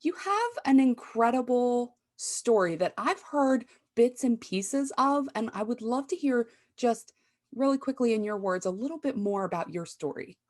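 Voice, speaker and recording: A woman's voice: fairly high pitch, lively intonation, normal speed, darkish tone. A woman co-hosting a marketing podcast, opening the episode and introducing her guest in an upbeat, chatty way. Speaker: podcast co-host. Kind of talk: scripted podcast intro and interview.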